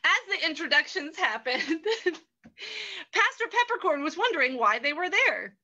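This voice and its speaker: A woman narrator with a high, bright voice. She tells an improvised tale like a storybook, sounding amused as she makes it up. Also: very animated, a little slow, lo-fi sound.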